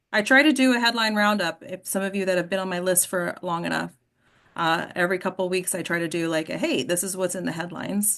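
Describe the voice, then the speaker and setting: A woman's voice: mid-range voice, a bit bright. Woman coaching an informal acting workshop. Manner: instructive, checks in with "right?". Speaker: host and acting coach leading a table read discussion.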